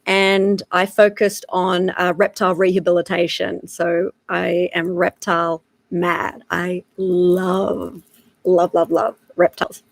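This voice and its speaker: An Australian woman in her thirties talks slowly to her live-stream viewers in an easy, chatty way. Her voice sits a little low, and she fills her pauses with "uh" and "um". The sound is close and dry, with no room echo. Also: vocal fry. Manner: frequent filler "uh" and "um", relaxed and chatty. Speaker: wildlife photographer giving a live lesson. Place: home in South Africa.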